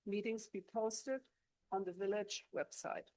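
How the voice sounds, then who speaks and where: A woman opens a public comment at a council meeting, speaking in a measured, formal way. Her voice sounds dry and close, with little echo. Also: medium pitch, steady tone, darkish tone. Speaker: resident giving public comment. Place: council chamber.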